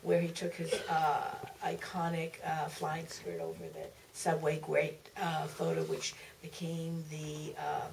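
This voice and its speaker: A woman author recollecting at a live Q&A in a theater, speaking haltingly with repeats and trailing phrases. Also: deep voice, completely flat delivery, gravelly. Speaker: author, panel guest. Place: museum theater. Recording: distant, noisy auditorium pickup.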